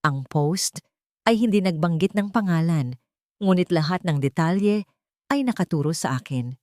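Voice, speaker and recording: A woman's voice: fairly low pitch, animated, normal speed, darkish tone, no room sound, clean studio sound. A woman with a Filipino accent reading a dramatic first-person story as an audiobook narrator.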